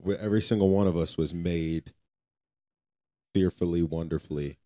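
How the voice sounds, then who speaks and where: Deep, mellow-voiced man, earnest and exhorting. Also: fairly even intonation, normal speed. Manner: earnest, exhorting, repeats phrases for emphasis. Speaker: podcast co-host. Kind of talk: casual faith podcast talk.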